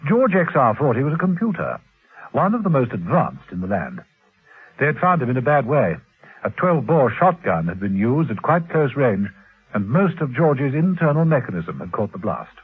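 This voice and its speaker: A man's voice: medium pitch, huge pitch swings, moderate pace. A man narrating a classic radio spy drama in a theatrical, suspenseful and arch manner. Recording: muffled, husky-sounding old radio recording.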